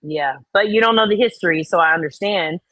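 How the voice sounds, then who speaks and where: A woman's voice: on the low side, brisk, bright, forceful tone, dry recording, poor audio. A woman co-host giving casual commentary, reading comments out dramatically and reacting bluntly, with exasperation and free swearing.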